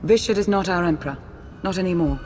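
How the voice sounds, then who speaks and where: A woman's voice: on the low side, fast, close to an everyday voice. An actress playing a cool, formal female intelligence minister in a video game cutscene. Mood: stern. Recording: loud music behind the voice.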